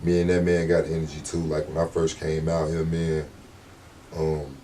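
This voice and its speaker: A deep, low-pitched man talks in a loose, easygoing interview, full of slang and constant check-ins with his listener. He sounds set back from the mic. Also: monotone, moderate pace, warm tone, distant mic, a little hiss or hum. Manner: laid-back, slangy, repeats "you know what I'm saying". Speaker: rapper guest. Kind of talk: casual interview talk.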